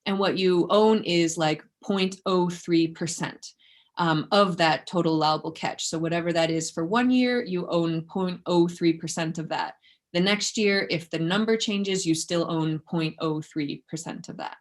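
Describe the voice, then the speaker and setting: A woman with a slightly low voice gives an academic talk as a researcher. She keeps it informal and conversational, with a self-effacing touch. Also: moderate pace. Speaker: researcher giving a lecture. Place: indoors in an office, online presentation.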